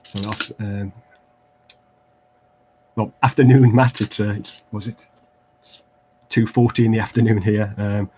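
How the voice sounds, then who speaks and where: A man's voice: on the low side, steady tone, a little slow, pauses often. A man with an English Midlands accent chats casually through a craft livestream at his desk, rambling, self-deprecating and full of restarts. The audio is a little dull, with a faint hiss underneath. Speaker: livestream host building tabletop terrain. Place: home craft desk, indoors.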